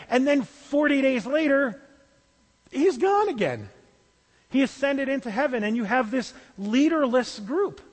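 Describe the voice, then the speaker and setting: High-voiced male pastor in a reverberant hall. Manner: conversational, with small restarts. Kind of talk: sermon.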